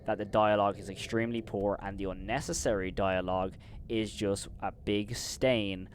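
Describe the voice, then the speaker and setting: Brief: male commentary host, slightly deep, opinionated, climbs into loud disbelief while ranting, faint rumble. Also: fairly even intonation, moderate pace. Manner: opinionated, repeats "of course" and "in my opinion"; gets loud and incredulous when ranting. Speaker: host and reviewer.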